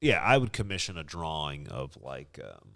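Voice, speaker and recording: A man's voice: extremely low pitch, sing-song, moderate pace, vocal fry, fairly bright tone, dry recording, clean studio sound. A man hosting a casual podcast, chatting with effusive enthusiasm. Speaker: podcast host.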